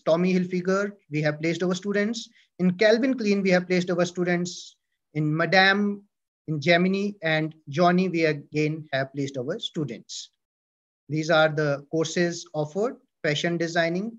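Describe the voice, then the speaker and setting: A man's voice: on the high side, a bit bright. A man with an Indian English accent presents a university department from slides, with fillers and self-corrections. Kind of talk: presenting slides in an online orientation. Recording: dull lo-fi audio.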